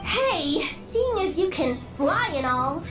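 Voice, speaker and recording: A woman's voice: high voice, very animated, slow pace, exaggerated voice. A woman voicing a nervous young scout who hesitates and stammers. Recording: game audio with reverb over music.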